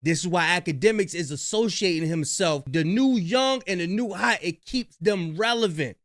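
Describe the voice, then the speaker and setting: Animated high-voiced man reacting. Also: normal speed, no room sound, clean studio sound. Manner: animated, slangy, addresses viewers as y'all. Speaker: reaction commentator. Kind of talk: reaction commentary.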